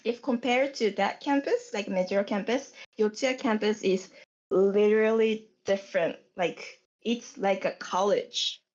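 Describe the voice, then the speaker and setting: Young woman, japanese accent, talks slow and picks her words, kinda dull lo-fi sound. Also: medium pitch, darkish tone. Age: young adult. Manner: unhurried, searching for words. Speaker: guest. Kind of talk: casual podcast chat.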